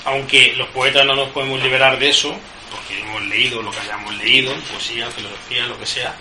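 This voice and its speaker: A man, himself a poet, musing in a halting, self-deprecating way while posing questions to a fellow poet. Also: mid-range voice, steady tone. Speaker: poet, interviewer. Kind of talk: literary conversation. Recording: echoey room, noisy background.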